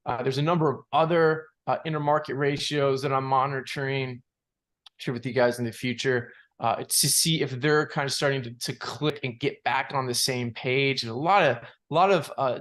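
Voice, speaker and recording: A man with a mid-pitched voice talks as an analyst walking through charts. His delivery is unscripted and halting, often doubling back on words as he explains. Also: fairly bright tone. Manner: halting, repeats words, many you-knows. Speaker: market analyst presenting charts. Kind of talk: unscripted financial chart commentary.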